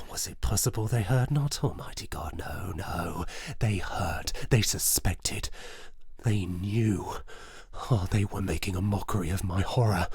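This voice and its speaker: A man playing a mad, falsely suave and feverishly nervous murderer in a theatrical gothic horror audiobook reading, with a husky, breathy voice and halting delivery. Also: lowish voice, no room sound, studio quality, heavily acted. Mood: anguished, agitated. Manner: theatrical, halting, swinging from hushed whispers to frantic cries.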